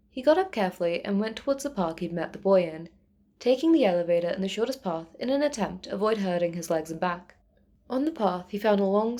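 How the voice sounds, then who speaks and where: A woman's voice: mid-range voice, darkish tone. A woman reading fiction aloud as narrator in a scripted storytelling style. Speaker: narrator, fan fiction reader.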